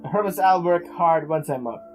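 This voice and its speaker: Higher-pitched man reading haltingly over music. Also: animated. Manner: halting, stumbles and repeats words while reading. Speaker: let's play host. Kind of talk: reading visual novel narration aloud with casual commentary.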